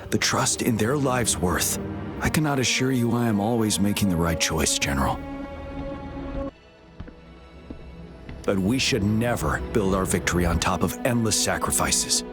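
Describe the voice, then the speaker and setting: A man voicing a resolute commander in a fantasy game. His voice is fairly low, with a measured and solemn delivery full of earnest principle. Music plays clearly behind him. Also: lively intonation, close to an everyday voice. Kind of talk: dramatic video game cutscene acting.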